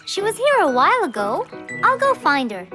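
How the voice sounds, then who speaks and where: A woman's voice: very high-pitched, huge pitch swings, moderate pace, music in the background, exaggerated voice. A woman voicing an earnest, eager, childlike little female animal in a children's cartoon. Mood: matter-of-fact.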